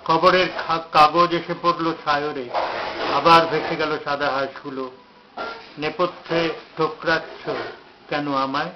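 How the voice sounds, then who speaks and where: Slow, higher-voiced man reciting poetry. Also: fairly even intonation. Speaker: poet reciting own poem. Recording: dull lo-fi, faint background noise.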